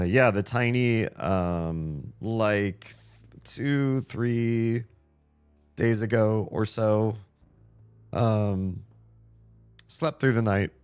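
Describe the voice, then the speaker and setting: A man's voice: on the low side, very slow, pauses often, a little mellow, clean tone, faint music behind. A man in his thirties, a streamer chatting casually while playing a game, rambling with lots of fillers as he loses his voice. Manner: rambling, easygoing, many fillers. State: losing his voice. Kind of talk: casual game livestream chat.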